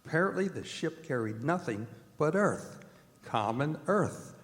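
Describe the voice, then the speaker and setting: A man acting as a solemn storyteller, narrating an old-time radio drama in deliberate, suspenseful phrases. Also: on the high side, sing-song. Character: solemn storyteller reporting eerie events. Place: performing arts theatre stage. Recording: long reverb of a stage hall.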